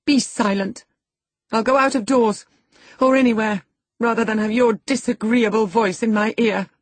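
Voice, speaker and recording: A woman's voice: lowish voice, big pitch swings, slow, warm tone, husky, dry recording, voice pushed up, full character voice. A woman voicing a haughty, scornful young lady in an audiobook novel, speaking with cutting, imperious indignation. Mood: cutting, angry. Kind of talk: classic novel character dialogue in audiobook reading.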